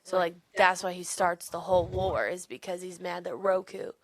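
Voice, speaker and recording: A woman's voice: on the low side, steady tone, moderate pace, dry recording. A woman co-hosting a podcast, chatting casually and informally about books. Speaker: podcast co-host. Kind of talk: casual book chat.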